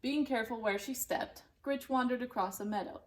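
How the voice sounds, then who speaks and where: A woman narrating a children's storybook in an expressive, deliberate and dramatic way. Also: a little high, a little hiss or hum. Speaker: storybook reader.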